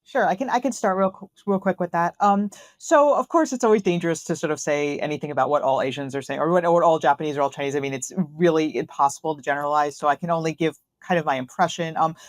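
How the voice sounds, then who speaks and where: A woman, a guest on a crypto interview show, speaking in a quick, animated, fluent way from Tokyo. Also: low voice, dry recording. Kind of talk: casual interview talk. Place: home office in Tokyo.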